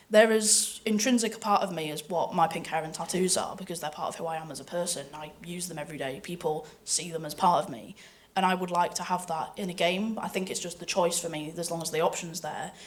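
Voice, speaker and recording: A woman with a slightly low voice speaks as a guest on a festival panel. She talks conversationally and earnestly, in a personal way, as a performer would about her own work. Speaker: voice actor and panellist.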